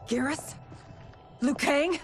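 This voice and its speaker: Slow-speaking, noble warrior queen. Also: high voice, faint music behind. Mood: bewildered. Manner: earnest, formal, deliberate. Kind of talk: cinematic video game acting.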